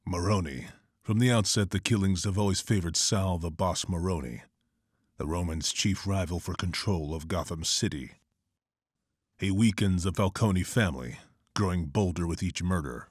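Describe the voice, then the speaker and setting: A brooding vigilante detective speaks very low and close, with no room around him. He is terse, serious and measured, in motion comic drama. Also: dry recording. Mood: analytical. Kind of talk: scripted motion comic acting.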